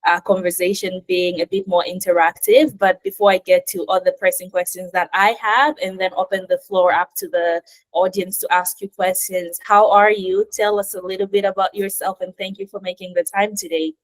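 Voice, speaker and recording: A woman hosting an online webinar interview over a video call, enthusiastic and warm in her questions, with frequent "uh" fillers. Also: medium pitch, little pitch movement, normal speed, poor audio. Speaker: webinar host.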